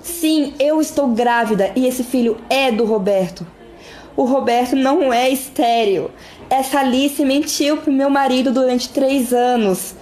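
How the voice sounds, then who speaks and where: A woman's voice: high-pitched, unhurried, darkish tone. A woman playing an emphatic, wronged young wife in an amateur radio drama. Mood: outraged, defiant. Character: young wronged wife, insecure turned defiant.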